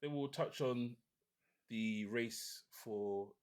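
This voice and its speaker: A man hosting a casual football podcast, talking in a relaxed, opinionated way with many restarts. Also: mid-range voice, extremely slow, smooth. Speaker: podcast host.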